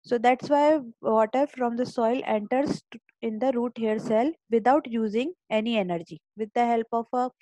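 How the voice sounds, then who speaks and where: A woman's voice: fairly high pitch, normal speed, mellow, dry recording, poor audio. A woman teacher giving an online biology lecture, explaining step by step and often repeating or restarting words.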